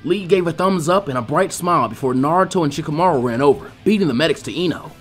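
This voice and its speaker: A man reads a story aloud in an expressive narrator's style. His voice sits fairly high and moves around a lot in pitch, at a brisk clip. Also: faint music behind. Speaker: fan fiction reader.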